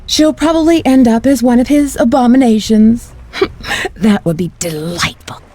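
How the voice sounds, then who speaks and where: An actress playing a flirtatious, cruel mercenary villainess in a video game, taunting and gloating theatrically. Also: female voice, fairly high pitch, normal speed, dark tone, close to an everyday voice. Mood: cruel delight.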